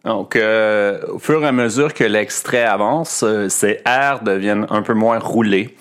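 A man's voice: lowish voice, measured pace, clear, resonant tone. A man with a Québécois French accent hosting a podcast lesson, explaining things carefully with frequent hesitations. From Quebec. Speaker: podcast host and French teacher.

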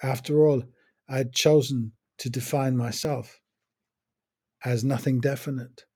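An older man muses over his memories in a measured, unhurried monologue. His voice is mellow and a little husky, and he lets short phrases fall apart with long pauses between them. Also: medium pitch, fairly even intonation. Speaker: podcast host. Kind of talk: reflective personal monologue.

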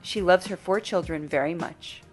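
A woman's voice: fairly low pitch, normal speed. A woman giving scripted announcements as a podcast presenter. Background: music.

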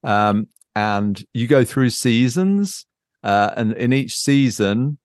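A man's voice: mid-range voice, slow, no echo, studio quality. A man chatting casually and unscripted as a podcast host, thinking aloud and searching for his words. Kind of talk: casual unscripted chat about board games.

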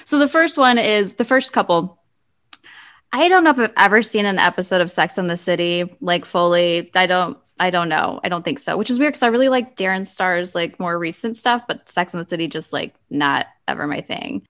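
A woman's voice: on the low side, a little fast, a bit bright. A woman hosting a casual podcast chat, talking in a giggly, self-deprecating way. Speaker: podcast host, readers and writers librarian. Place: remote video call recording.

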